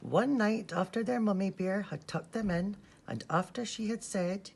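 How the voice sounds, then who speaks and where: A woman reading a bedtime story to young children in a gentle, sing-song, expressive way. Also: medium pitch, moderate pace, vocal fry, slight background noise. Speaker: storybook reader from a school staff team.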